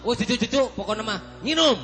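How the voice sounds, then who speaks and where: High-pitched Sundanese man bantering in comedy. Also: expressive, fast talker, music in the background. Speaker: comedy performer.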